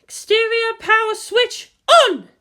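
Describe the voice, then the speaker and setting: Woman plays commanding female officer: fierce, emphatic, high-pitched, storybook drama. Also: slow, full character voice. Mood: urgent shouting. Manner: forceful, emphatic orders.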